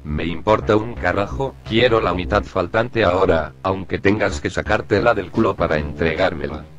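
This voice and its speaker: A man's voice: sits low, moderate pace, lo-fi sound, close to an everyday voice. A man playing a menacing, foul-mouthed gangster in an amateur drama dub, crude and curt. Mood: furious threats. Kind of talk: amateur Spanish dub acting.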